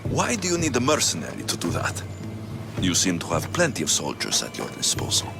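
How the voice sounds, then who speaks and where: An actor playing a cool, quietly defiant young Greek mercenary in a video game, terse and probing in his delivery. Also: low voice, wildly swooping pitch, a little fast, vocal fry, full character voice. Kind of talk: dramatic video game cutscene acting. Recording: game soundtrack music behind the voice.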